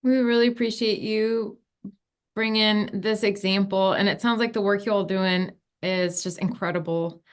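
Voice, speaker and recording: A woman's voice: medium pitch, fairly even intonation, moderate pace. A woman moderating a webinar over a video call, polite and appreciative as she hands over to speakers.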